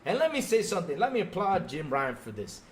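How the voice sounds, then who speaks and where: Male gaming podcaster, higher voice, very animated delivery, emphatic and sarcastic live rant. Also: fast talker. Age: middle-aged. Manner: emphatic, sarcastic, mimics fans mockingly. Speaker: podcast host.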